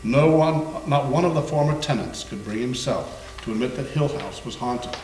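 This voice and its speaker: A man playing an erudite investigator of haunted houses in a staged reading, telling his ghost story gravely with deliberate pauses. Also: medium pitch, a little mellow, lightly acted. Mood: grave, measured. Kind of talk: staged readers theater performance. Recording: echoey room with faint hum.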